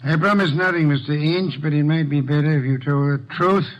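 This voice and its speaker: An actor playing a ponderous, imperious detective in an old radio drama, dry, formal and deliberate in his questioning. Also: on the high side, dull audio, lightly acted. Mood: probing, dry. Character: ponderous, imperious, brilliant bulky private detective. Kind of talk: 1940s-50s radio detective drama acting.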